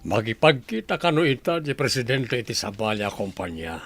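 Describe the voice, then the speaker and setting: A man's voice: mid-range voice, very animated, normal speed, a bit bright, a little hiss or hum. A man acting a calculating, wealthy middle-aged father in a radio drama, with an Ilocano Filipino accent. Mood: scheming.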